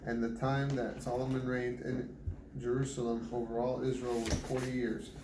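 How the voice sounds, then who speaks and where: A man's voice: medium pitch, flat delivery, moderate pace. A man reading scripture aloud at home, often stumbling and restarting on hard names. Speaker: Bible reader. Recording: echoey room with background noise.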